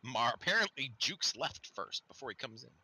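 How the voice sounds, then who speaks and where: A man's voice: mid-range voice, sing-song, moderate pace, sharp tone, slightly rough. A man running a tabletop game as dungeon master, narrating playfully with sound effects.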